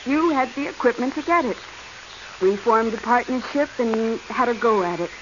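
A woman's voice: a little high, normal speed, close to an everyday voice. An actress playing a plain-spoken, independent woman adventurer in a 1940s radio drama, frank, breezy and firm. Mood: firm, weary. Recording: noisy, muffled 1940s radio recording.

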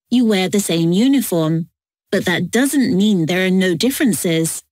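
A woman narrating textbook audio in a slow, clear reading. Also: mid-range voice, a little mellow, dry recording, studio quality.

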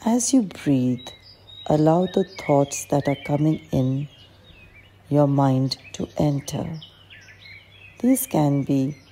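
A woman leading a guided meditation as an instructor, speaking in a calm, gentle, measured manner. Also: sits low. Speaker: clinical psychologist guiding a meditation. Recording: faint background noise with birdsong.

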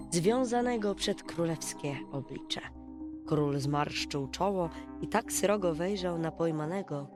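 Woman narrating a fairy tale over music. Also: deep voice. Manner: dramatic, folksy storytelling. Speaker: storyteller. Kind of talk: expressive scripted bedtime fairy-tale narration. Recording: music behind the voice.

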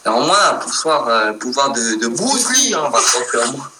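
A young man making a prank phone call in halting, broken speech with a put-on foreign accent, recorded in a living room. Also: mid-range voice, sing-song. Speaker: prank caller. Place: living room at home, indoors. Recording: echoey, noisy home recording, off mic.

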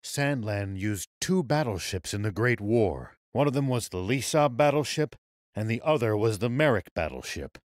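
A weary, steady old soldier acted by a man for a video game. His voice is low and gruff, with serious but expressive phrasing, recorded dry and close. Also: normal speed, no room sound, close to an everyday voice. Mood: serious, planning. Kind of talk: video game cutscene dubbing.

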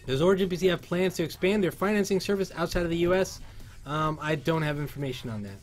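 A man's voice: fairly high pitch, fast talker, faint music behind. A man in his thirties from Cuba, hosting a casual livestream and reading chat questions aloud through a headset. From Cuban. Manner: upbeat, reads chat aloud, sings along. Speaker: livestream host. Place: workshop studio indoors.

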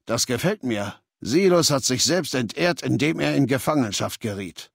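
Imperious warlord, video game dialogue, mid-pitched, commanding, contemptuous, measured, close dry recording. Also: male voice, expressive, vocal fry, breathy edge, no echo. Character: ruthless, imperious male leader of a slaver legion. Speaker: video game character voice actor.

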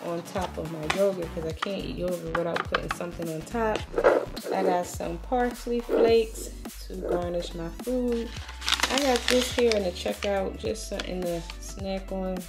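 A woman's voice: medium pitch, fairly even intonation, normal speed, loud music behind the voice. A woman chatting casually through a grocery haul, speaking to viewers as y'all. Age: adult, has a daughter. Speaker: vlogger.

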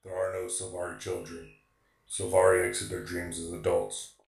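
A man's voice: deep voice, little pitch movement, moderate pace, gravelly, roomy sound, loud music behind the voice. A man playing a literal-minded golem robot in an audio drama, speaking in stiff, precise, formal phrases. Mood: flat, pedantic.

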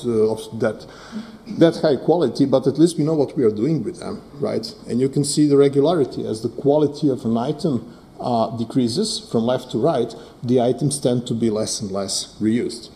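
A man's voice: mid-range voice, warm tone. A middle-aged man whose first language is Serbo-Croatian, giving a technical conference talk at a lectern microphone on a hall stage, often checking in with "right?". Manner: frequent fillers and "right?" tag questions. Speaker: conference presenter.

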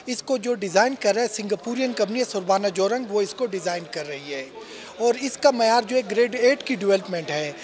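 A middle-aged man with a Pakistani accent gives a promotional interview as a sales representative. His voice sits quite high for a man. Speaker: real estate sales representative. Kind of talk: on-camera news interview, promotional pitch. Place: indoor expo hall.

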